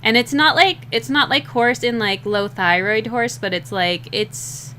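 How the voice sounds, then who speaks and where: A young woman with a slightly rough, scratchy voice, rambling and laughing at herself in a casual chat while drawing, short on sleep. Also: fairly high pitch, unhurried, sharp tone. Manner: rambling, giggly, self-deprecating. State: sleep-deprived. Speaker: digital artist streaming a drawing session.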